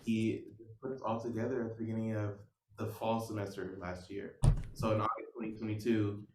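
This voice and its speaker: Young American man, lowish voice, echoey room. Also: flat delivery, a little fast. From Oklahoma, United States. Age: young adult. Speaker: cellist, music student. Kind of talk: interview answers, conversational. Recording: echoey room, some background noise.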